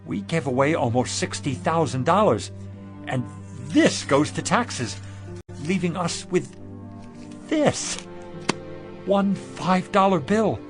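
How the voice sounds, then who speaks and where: An actor playing a weary, hot-tempered, grasping pawnshop owner in a radio drama, with a blunt, emphatic, theatrical delivery. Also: male voice, fairly high pitch, lively intonation, slow, pauses often, over music. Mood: bitter disbelief.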